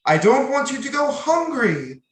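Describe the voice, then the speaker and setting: An actor playing the tender, worried ghost of a dead husband in an old-time radio drama, speaking solemnly and haltingly. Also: male voice, very high-pitched, sing-song, echoey room, heavily acted.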